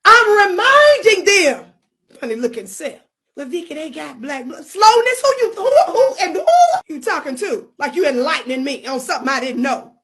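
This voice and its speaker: Woman with a really high voice going off at people, sassy and mocking. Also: sing-song, moderate pace, noisy background. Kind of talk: combative online rant.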